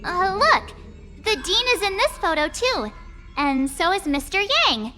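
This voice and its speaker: High, animated schoolgirl classmate. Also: a little slow, full character voice. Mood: chatty, explaining. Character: chatty, animated schoolgirl classmate, friendly and gossipy. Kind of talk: anime-style video game voice acting. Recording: music behind the voice. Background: game music.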